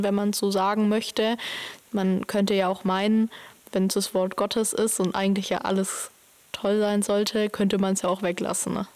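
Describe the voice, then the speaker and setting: A woman with an average-pitched voice talks in a casual, reflective way. She acts as the conversation partner, putting questions and thoughts in informal everyday wording. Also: darkish tone. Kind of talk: casual chat.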